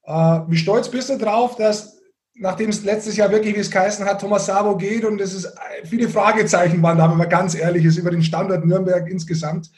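A man's voice: sits high, fairly even intonation, brisk, darkish tone. A man interviewing a guest on a podcast, asking questions in a casual, conversational style.

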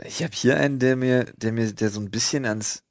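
A man's voice: mid-range voice, a little fast, no echo. Slangy young man, dry close podcast sound. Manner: slangy, mixes in English words. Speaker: podcast host. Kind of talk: casual comedic banter.

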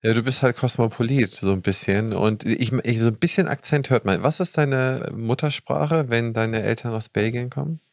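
A man's voice: medium pitch, normal speed, creaky, hardly any pauses, no echo, clean studio sound. A man hosting a podcast, introducing his guest and asking friendly, informal questions. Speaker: podcast host.

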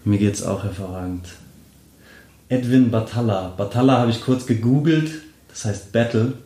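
A man's voice: on the low side, normal speed, warm tone. Friendly male podcast host in a roomy space. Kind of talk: scripted intro then casual interview. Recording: roomy echo.

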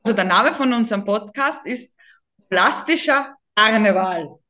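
A woman's voice: mid-range voice, animated, a little slow, pushed and bright. A talkative woman with a slightly Viennese eastern Austrian accent, chatting casually as a podcast co-host. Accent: eastern Austrian German, slightly Viennese. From Lower Austria, near Vienna.

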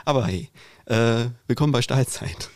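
A man's voice: mid-range voice, moderate pace. A young man co-hosting a podcast in casual chat, often stammering and repeating his words. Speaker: podcast co-host.

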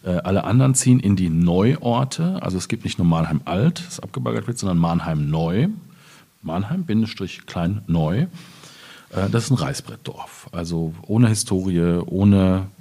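A man speaking as a poet at a literary reading, in measured, clearly phrased German delivery. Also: low voice, expressive, warm tone. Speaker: poet reading his own work. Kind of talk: literary reading of poems with informal introduction.

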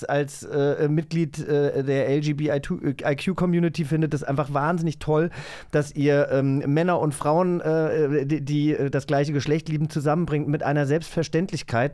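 A man's voice: a little high, darkish tone. A man hosting a casual, chatty interview as a podcast host.

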